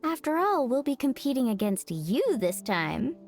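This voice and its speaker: Upbeat sporty young game girl, high voice, swoops around a lot, friendly. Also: big pitch swings, moderate pace, soft background music. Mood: playful, friendly. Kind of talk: video game voice acting.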